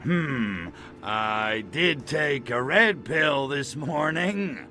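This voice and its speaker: A man voicing a cocky, wisecracking little alien invader in a video game, sardonic and slangy with a gravelly voice. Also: mid-range voice, animated, drawn-out words, bright, forceful tone, a little hiss or hum, full character voice. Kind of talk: video game cutscene acting.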